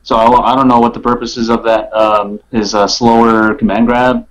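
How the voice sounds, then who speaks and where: A man's voice: medium pitch, little pitch movement, normal speed, low-quality recording. A man chatting casually about game mechanics as a podcast guest, tentative and unsure in his manner. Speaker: guest player on a gaming podcast. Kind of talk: casual chat, game analysis.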